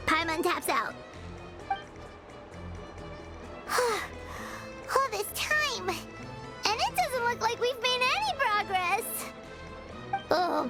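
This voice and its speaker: A tiny, greedy and excitable game companion with an extremely high, childlike voice. She talks in exclamations at an unhurried pace. Music plays prominently behind her. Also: full character voice. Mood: defeated, whiny. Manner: refers to self in third person, exclamatory. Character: small childlike floating companion, excitable gluttonous girl. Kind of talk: animated video game voice acting.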